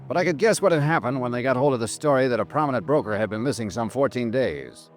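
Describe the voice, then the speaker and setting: Noir radio lead, male: tough, sardonic investigator-narrator, clipped delivery, medium pitch. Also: lively intonation, quick pace, faint music behind, close to an everyday voice. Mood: brisk, matter-of-fact. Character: wry, hard-boiled male insurance investigator. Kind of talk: old-time radio noir drama, first-person narration.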